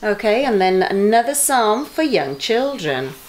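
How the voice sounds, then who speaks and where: A middle-aged woman reads a bedtime story for young children. Her pitch rises and falls in a very animated way, while her pace stays slow and measured. She phrases things emphatically, as an expressive storyteller does. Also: a little hiss or hum, rough recording. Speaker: bedtime story reader for a junior church. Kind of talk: expressive read-aloud of children's Bible stories.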